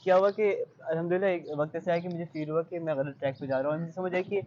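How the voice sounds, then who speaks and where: A man's voice: a little high, steady tone, racing, darkish tone, highs cut off. A young man with a Pakistani accent answering interview questions in an earnest, reflective way, mixing in English phrases. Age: young adult. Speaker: interview guest, high-achieving student.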